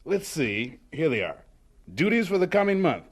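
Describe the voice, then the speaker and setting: An actor in an audio drama playing a busy, genial but demanding college principal with a clipped, bustling manner. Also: male voice, fairly high pitch, very animated, normal speed, slightly rough. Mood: brisk, distracted. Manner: clipped, bustling, self-interrupting, emphatic.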